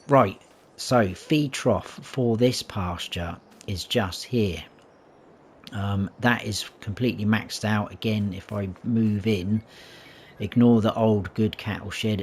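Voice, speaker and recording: Chatty man with a croaky voice. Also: fairly low pitch, slight background noise. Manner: chatty, self-correcting, talks to viewers. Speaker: game map reviewer. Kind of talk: casual gameplay tour commentary.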